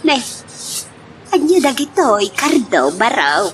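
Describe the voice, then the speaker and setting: A woman's voice: fairly high pitch, sing-song, slow. An actress playing a grateful, surprised mother in an Ilocano drama. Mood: surprised, pleased. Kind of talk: Ilocano melodrama voice acting.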